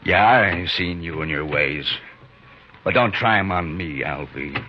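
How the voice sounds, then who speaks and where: An American actor playing a brutal, mocking outlaw boss in a 1950s radio western, with a folksy, sardonic drawl. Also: male voice, sits low, a little slow. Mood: sardonic, challenging. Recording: muffled, noisy 1950s radio broadcast.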